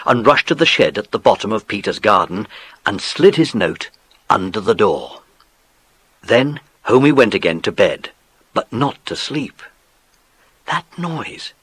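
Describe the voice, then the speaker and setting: A British man narrating a dramatised children's story in an expressive storytelling manner. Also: mid-range voice, breathy edge, no echo. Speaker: audiobook narrator.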